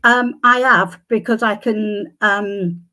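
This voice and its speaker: An older woman, a psychic guest, answering questions with many pauses and restarts, her voice a little croaky. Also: medium pitch, pushed and bright. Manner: hesitant, many ums and restarts. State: slightly croaky voice. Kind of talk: conversational interview answers.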